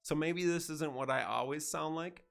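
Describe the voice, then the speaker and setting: A man's voice: on the high side, normal speed, vocal fry, fairly bright tone. A man streaming a video game in casual chat, congested from a head cold and sounding nasal, easygoing and chatty as he thanks his viewers. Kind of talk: casual gameplay livestream chat.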